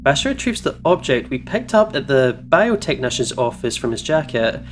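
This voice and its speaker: A man doing casual let's play commentary and reading narration aloud, expressive and playful in manner. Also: medium pitch, normal speed, faint music behind. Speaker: gaming streamer reading aloud.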